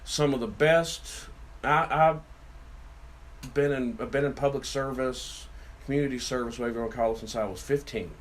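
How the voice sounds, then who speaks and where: A man's voice: mid-range voice, moderate pace. A middle-aged man co-hosting a casual talk podcast, telling rambling folksy stories in a big voice that carries. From Virginia, USA. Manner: folksy, rambling storyteller, loud voice. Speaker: podcast co-host, city councilman. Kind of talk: casual chat.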